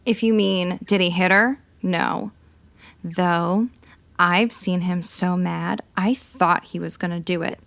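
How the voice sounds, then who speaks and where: An actress portrays a gossipy female neighbor from the 1950s, telling what she saw in radio drama style. Her voice sits fairly low and is recorded dry, with a measured, confiding delivery. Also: fairly even intonation, creaky, a bit bright, dry recording, full character voice. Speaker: actor in a comedy audio drama.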